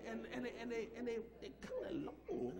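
Middle-aged American male preacher, high voice, folksy emphatic storyteller, live church with audible congregation. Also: wildly swooping pitch, brisk, vocal fry, a loud crowd. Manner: emphatic, repeats phrases, folksy. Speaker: pastor. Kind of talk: animated sermon with personal storytelling. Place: church sanctuary, at a pulpit. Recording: live church room with audience reactions. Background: congregation reacting.

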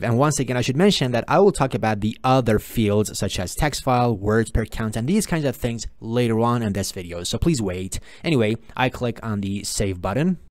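A man, a native Persian speaker with a Persian accent, presenting a step-by-step software tutorial in an informal, explanatory and repetitive manner. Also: medium pitch, dry recording, studio quality. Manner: explanatory, repetitive, posing viewers' questions and answering them. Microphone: close mic, screen-recording voice-over.

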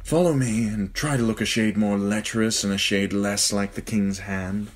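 A man's voice: lowish voice, steady tone, moderate pace, pitched above the performer's own voice, close to an everyday voice. A man voicing a sly, glib male nobleman who teases in a sardonic way. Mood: mocking. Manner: teasing, sardonic.

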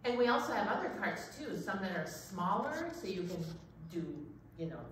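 A woman's voice: mid-range voice, big pitch swings, normal speed. Female library worker, conversational explainer, heard with heavy room echo and slight noise. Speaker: library staff member. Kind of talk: casual chat, explaining. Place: indoors in a library, off camera. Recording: very echoey, noisy room, off mic.

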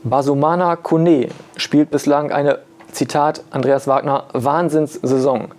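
A man's voice: a little high, steady tone, a little slow, darkish tone. A man in his thirties, a sports editor, delivering a scripted match preview to camera in an office. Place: newspaper office, indoors. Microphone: lapel.

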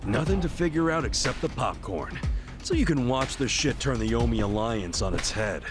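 An actor playing a defiant, cocky, big-hearted gangster hero in a video game cutscene, brash and informal. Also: male voice, medium pitch, expressive, moderate pace, music in the background, close to an everyday voice.

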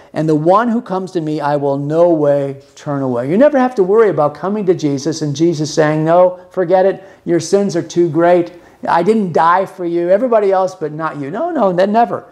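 An older man in his sixties, teaching scripture with earnest, plain talk. His voice sits a bit higher than most men's, and he often restarts words mid-thought. He's recorded on a desk camera in a small room. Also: a little mellow. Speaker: podcast host teaching the Bible. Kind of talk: Bible teaching monologue. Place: small room with music gear behind.

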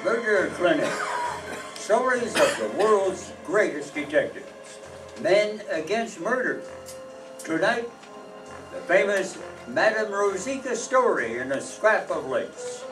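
An older man announces an old-time radio show from a script. His voice is high for a man, heard from off in an echoey room with music behind it. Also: big pitch swings, takes it slowly, distant mic. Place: meeting room stage before an audience.

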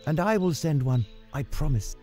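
A man's voice: a little high, lively intonation, normal speed, a little mellow, faint music behind, voice pushed up, lightly acted. A man playing a passionate, lovestruck young nobleman in a dramatized storybook, earnest and theatrical. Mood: tender, earnest.

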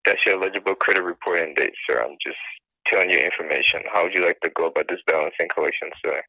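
Deep, fast-talking male call agent. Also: bright, forceful tone, no echo. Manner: insistent, repetitive, formal sir. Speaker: debt collection call agent. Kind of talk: scripted phone collection pitch. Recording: phone line. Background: telephone call.

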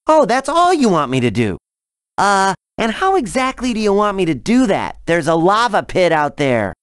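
A man's voice: high voice, wildly swooping pitch, moderate pace, a bit bright, clear, resonant tone, no room sound, clean studio sound, full character voice. A man voicing a cocky, plucky young dragon hero in a cartoonish video game, casual and cheeky. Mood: questioning.

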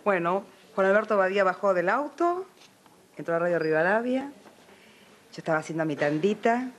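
A woman's voice: medium pitch, expressive, normal speed, a little hiss or hum. A woman in her forties with an Argentine accent, a radio announcer reminiscing and presenting in a television studio.

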